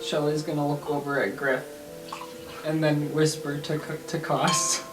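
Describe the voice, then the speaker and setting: A woman's voice: low voice, little pitch movement, a little slow. A woman casually narrating her character's actions as a player in a tabletop game. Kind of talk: casual improvised play. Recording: roomy with music underneath.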